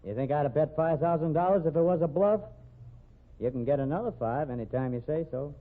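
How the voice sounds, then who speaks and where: A man's voice: fairly high pitch, fairly quick, close to an everyday voice. An actor playing a cocky young male rodeo cowboy in a 1940s radio drama, with an easy, confident drawl. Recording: tinny old radio broadcast.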